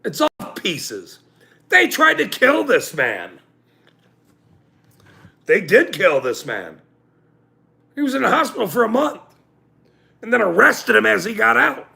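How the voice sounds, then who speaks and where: A man in his forties hosting a livestream, ranting angrily with profanity and loud outbursts, then joking about himself. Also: sits high, sing-song, slow pace, vocal fry, long pauses. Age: 40s. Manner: angry, profane, with loud outbursts and self-mocking laughs. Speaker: channel host. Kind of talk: livestream rant.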